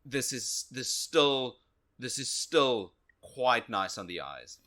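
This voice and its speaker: A man co-hosting a podcast, giving casual, appreciative game commentary in a measured, emphatic way. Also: mid-range voice, sing-song, sharp tone. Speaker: podcast co-host. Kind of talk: casual video game review talk.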